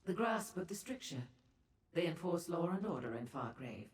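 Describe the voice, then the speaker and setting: A woman's voice: fairly low pitch, big pitch swings, moderate pace, a little hiss or hum. A woman voicing a seductive, worldly female Daedra merchant in a video game, with a coy, theatrical, condescending manner and ornate formal phrasing. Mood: wry, informative. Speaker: information broker and proprietor. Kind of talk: video game character dialogue. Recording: echoey hall-like reverb on the voice.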